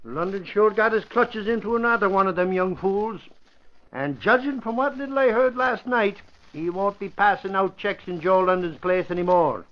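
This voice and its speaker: Male actor for gossipy, colloquial sidekick, notably high voice, vintage radio adventure, muffled sound. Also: normal speed, natural voice. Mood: gossipy, knowing. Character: gossipy, folksy tough-guy sidekick. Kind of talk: 1940s radio drama acting. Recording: muffled old radio recording.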